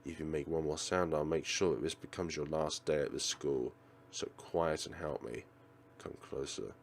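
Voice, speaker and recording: Man voicing a low, creepy, obsessive teacher. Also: steady tone, normal speed, slight background noise. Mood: menacing. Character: creepy menacing obsessive male teacher, possessive and threatening.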